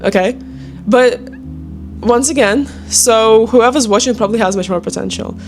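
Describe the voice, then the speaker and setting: A woman's voice: a little high, moderate pace, darkish tone. Young Ukrainian-accented woman vlogger over music. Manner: informal, emphatic, repetitive encouragement. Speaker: online video creator and sales closer. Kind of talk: casual motivational chat to camera.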